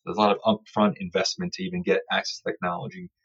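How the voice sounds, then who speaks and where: A man's voice: fairly low pitch, sing-song, quick pace, gravelly, muffled recording. A man explaining research in an interview with formal, careful, technical phrasing. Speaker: surgical researcher interviewed as study author.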